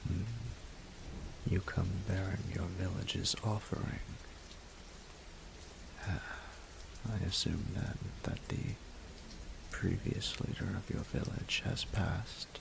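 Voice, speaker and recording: A man voicing an ancient, haughty and flirtatious male dragon in a roleplay audio drama, speaking in a formal, imperious, deliberate way. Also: little pitch movement, noisy background, heavily acted. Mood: solemn, appraising. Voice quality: breathy, gravelly low voice.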